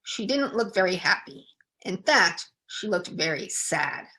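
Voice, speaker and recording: A woman narrates a children's story as a storyteller. Her pitch is medium for a woman and moves around in a lively way, with expressive, deliberate phrasing meant for young listeners. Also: poor audio.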